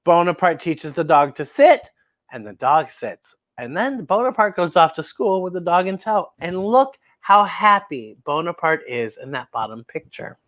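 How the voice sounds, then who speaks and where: Female children's storytime host: very lively pitch, expressive read-aloud, exclaims and addresses young listeners directly. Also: normal speed, lo-fi sound.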